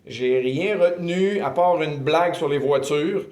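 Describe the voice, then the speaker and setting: A man in his fifties with a Québécois accent, earnestly telling his faith testimony in an interview, often pausing with filler sounds. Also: a little high, darkish tone. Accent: Québécois French. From Quebec, Canada. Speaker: ministry director giving testimony. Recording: roomy echo.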